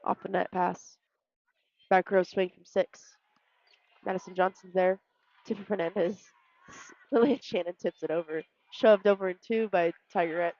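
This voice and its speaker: A woman's voice: on the low side, steady tone, moderate pace, a little mellow, no room sound. Woman calling volleyball, muffled sound. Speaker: volleyball co-commentator. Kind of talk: live volleyball commentary, clipped phrases.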